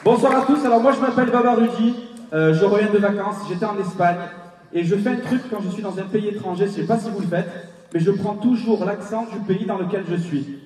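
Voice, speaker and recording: A man doing a stand-up comedy set, chatty and relaxed, on a large open-air stage. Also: slight background noise. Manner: chatty, puts on a squeaky high child's voice. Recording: distant, echoey open-air stage with audience.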